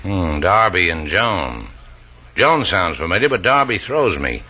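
A man playing a gruff, wisecracking tough bachelor in an old radio drama, wry and brusque. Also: deep voice, sing-song, a little slow, pushed and bright, exaggerated voice. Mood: wry, puzzled. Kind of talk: 1940s-50s radio drama acting.

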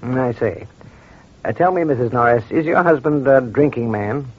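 An actor playing a courteous, probing crime doctor in an old-time radio drama, speaking in a measured, formal, reassuring way. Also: male voice, mid-range voice, animated, husky. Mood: calm, concerned. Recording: muffled vintage radio recording.

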